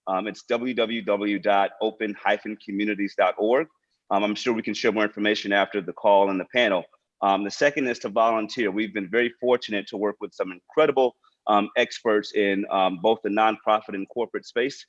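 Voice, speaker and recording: A man's voice: fairly low pitch, fairly even intonation, brisk. A man speaking as a nonprofit director, giving informal prepared remarks to an online panel over a video call. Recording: dull, lo-fi video call audio.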